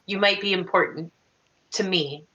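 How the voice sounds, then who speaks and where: A young woman roleplays over a video call. Her voice is bright and a little low for a woman, and she speaks haltingly, circling back and repeating herself. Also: lo-fi sound. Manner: halting, repeats words, rambling. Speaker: tabletop game player. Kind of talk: improvised in-character roleplay over online call. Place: home room on a video call.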